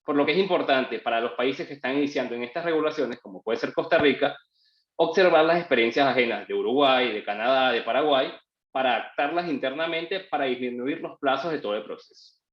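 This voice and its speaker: A man speaking at a mid pitch in a measured, formal way over a video call. The sound is dull and muffled, and it echoes as if the room were bare. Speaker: guest lawyer. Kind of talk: webinar presentation.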